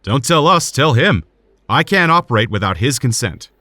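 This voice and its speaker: An actor playing a serious, cautious hospital surgeon in an audio drama, speaking in a measured, formal way. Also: male voice, medium pitch, sing-song, bright, forceful tone, no echo. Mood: grave, measured.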